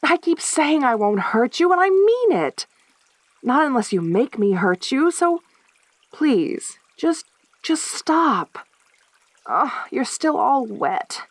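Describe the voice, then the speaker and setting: A woman playing a lonely, possessive centaur girl in a soft-spoken audio roleplay, with a halting, stammering delivery. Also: sits high, very animated, no echo, full character voice. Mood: desperate, forceful.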